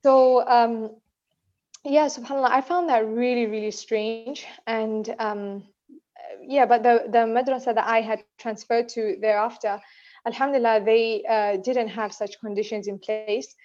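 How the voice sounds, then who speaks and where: Chatty young woman, slightly high voice. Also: steady tone, unhurried, a little mellow. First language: not Urdu. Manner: chatty, many fillers and "you know what I mean". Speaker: podcast co-host. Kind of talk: casual conversational storytelling. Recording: dull lo-fi podcast audio.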